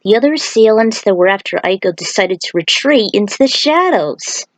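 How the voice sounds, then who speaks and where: A woman's voice: medium pitch, animated, moderate pace, dry recording. A woman reading an action story aloud as a narrator, with casual asides. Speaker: fan fiction author reading aloud.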